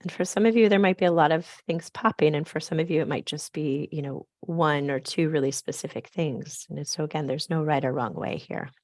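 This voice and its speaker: A woman with a scratchy, raspy voice, softly leading a slow grounding meditation on a video call. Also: low-pitched, dry recording, clean studio sound. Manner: soft, slow, gently inviting. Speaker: program facilitator.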